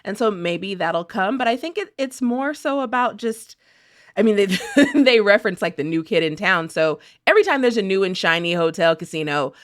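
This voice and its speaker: A woman's voice: mid-range voice, animated, normal speed, no echo, studio quality. An American woman contributing to a podcast, chatting casually and thinking aloud in an informal way. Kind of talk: casual chat.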